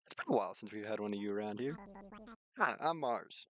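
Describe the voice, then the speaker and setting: A man's voice: on the low side, expressive, a little fast, close to an everyday voice. A man voicing a bubbly, welcoming female android worker in a visual novel, with a chirpy, friendly manner. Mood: cheerful, welcoming.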